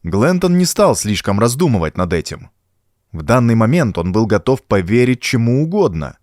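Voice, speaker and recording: A man's voice: mid-range voice, sing-song, moderate pace, darkish tone, no room sound, clean studio sound. A man narrating a horror adventure audiobook in a suspenseful, theatrical manner. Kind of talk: dramatic scripted narration of a horror adventure story.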